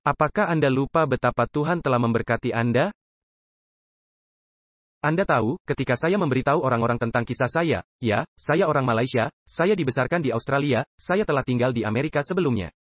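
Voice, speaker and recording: A man delivers a sermon in a mid-pitched voice, earnest and emphatic, often turning to his listeners with questions. The recording is close and dry, with no room sound. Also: fairly quick, no echo. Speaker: preacher. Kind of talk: dubbed sermon.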